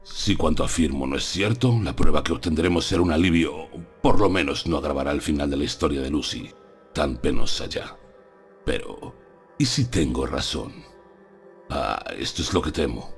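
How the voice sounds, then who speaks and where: A man's voice: a bass voice, normal speed. A man playing a solemn, persuasive older professor in a dramatized audiobook. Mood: earnest, persuasive. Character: solemn, persuasive older male professor confiding terrible truths. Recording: music under the voice.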